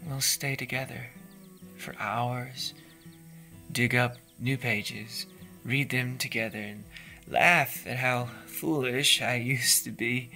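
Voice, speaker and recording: A man's voice: medium pitch, fairly even intonation, slow pace, full character voice. A man playing a lonely, lovelorn youth in an audio drama monologue, wistful and confiding. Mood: dreamy, devoted. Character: lonely, lovelorn, secretive youth musing alone. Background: soft plucked guitar music.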